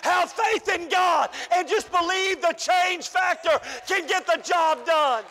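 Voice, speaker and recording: A pastor preaching a fervent sermon, calling out and shouting to his church, and losing his voice. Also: very high voice, takes it slowly, long reverb tail. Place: church hall.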